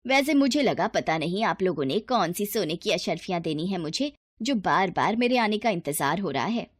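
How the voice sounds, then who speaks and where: A woman's voice: on the low side, expressive, moderate pace, husky, no room sound. A woman voicing a middle-aged mother-in-law in a children's moral story, haughty and sarcastic in manner. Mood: scornful, sarcastic. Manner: sarcastic, scolding.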